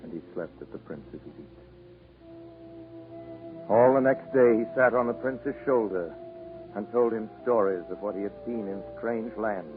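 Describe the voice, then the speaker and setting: A man giving scripted storybook narration in measured phrases, in the style of 1940s radio drama. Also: on the low side, narrow, tinny audio, natural voice. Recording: 1940s radio broadcast over music.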